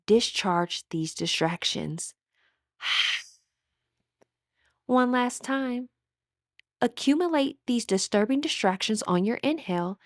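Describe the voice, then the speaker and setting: A woman leading a guided meditation in a measured, instructive way, with drawn-out phrases and audible breaths. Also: high-pitched, no room sound, clean studio sound.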